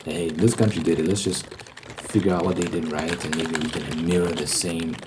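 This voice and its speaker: Male ASMR host, low voice, rambling monologue, emphatic repetition, clearly noisy background. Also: fairly even intonation, normal speed.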